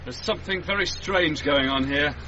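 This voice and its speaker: An actor playing an unflappable, courteous English gentleman athlete in a radio drama, well-spoken and understated, with a British accent. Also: fairly high pitch, moderate pace, muffled recording, slight background noise. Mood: curious, composed.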